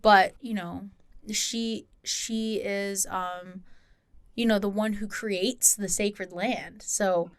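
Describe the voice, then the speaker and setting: A woman's voice: medium pitch, fairly even intonation, slow, no room sound. A woman from Hawaii chatting informally as a podcast co-host, explaining things in a conversational way. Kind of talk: casual podcast chat.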